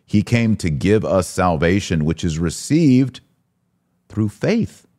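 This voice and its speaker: A man's voice: deep voice, very animated, slow pace, darkish tone. A man hosting a religious podcast, speaking in an emphatic, exhorting way with rhetorical questions. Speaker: podcast host. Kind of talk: religious discussion, preaching commentary.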